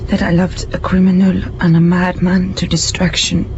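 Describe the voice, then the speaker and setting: A woman's voice: on the low side, little pitch movement, moderate pace, darkish tone, muffled recording, a little hiss or hum, natural voice. An actress playing a cunning pregnant adventuress who theatrically protests her innocence and can turn venomous, in an audio drama. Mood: wounded innocence. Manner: theatrical, self-justifying.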